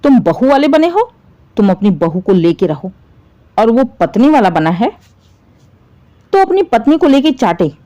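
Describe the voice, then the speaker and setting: Woman voicing a scornful, domineering mother-in-law, animated pitch. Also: measured pace, long pauses. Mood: sarcastic, bitter. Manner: scolding, sarcastic, contemptuous. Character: bitter, spiteful middle-aged mother-in-law, scornful and domineering.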